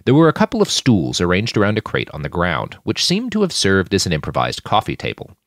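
A man's voice: deep voice, huge pitch swings, fairly quick, no echo, studio quality. A man reading scripted fiction as an audiobook narrator.

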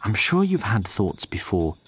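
A man's voice: on the low side, big pitch swings, measured pace, a bit bright, husky, soft background music. A man narrating a scripted audio drama in a literary voice, with wry asides to the listener.

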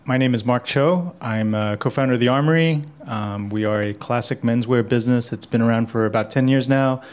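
A man speaking as a guest in a live interview, giving measured, orderly explanations. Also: medium pitch, clear, resonant tone. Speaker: guest, menswear business co-founder. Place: live event in a menswear store.

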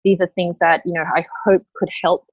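A woman's voice: fairly low pitch, flat delivery, a little fast, dry recording, lo-fi sound. A woman in her late thirties who grew up in Australia, a health coach talking in a podcast interview, hesitant with many fillers and restarts. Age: late 30s.

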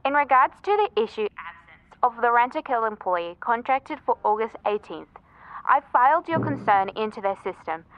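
A woman's voice: on the high side, a bit bright, no room sound. A woman playing an upbeat, impersonal corporate hotline operator in an audio drama, heard through a phone filter with formulaic scripted delivery. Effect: telephone line filter. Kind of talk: scripted customer-service recording in audio drama. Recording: dull, band-limited phone line.

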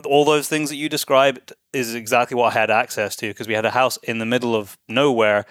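Smooth, clear male interview host. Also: medium pitch, steady tone, brisk, a bit bright, no echo, studio quality. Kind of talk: casual conversational interviewing.

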